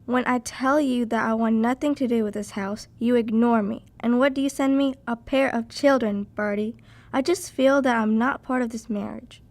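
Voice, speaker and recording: A woman's voice: a little high, steady tone, moderate pace, a little mellow, natural voice. A teenage girl in an amateur school radio play, acting a demanding, discontented wife and lady of the manor. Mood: resentful.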